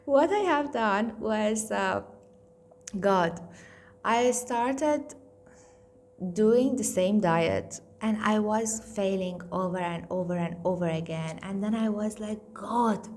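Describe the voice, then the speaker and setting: Blunt Indian-accented woman, slow talk. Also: medium pitch, darkish tone. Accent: Indian-tinged English. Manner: candid, blunt, swears freely, self-mocking. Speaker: nutrition coach. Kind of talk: casual weight-loss vlog.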